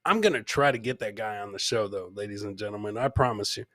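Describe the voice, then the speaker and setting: A man's voice: fairly low pitch, quick pace, bright, forceful tone, no room sound, studio quality. Man hosting a loose, sweary comedy podcast. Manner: sweary, repeats himself, exclaiming to listeners. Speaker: podcast host. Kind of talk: casual comedic live show chat, reacting to clips.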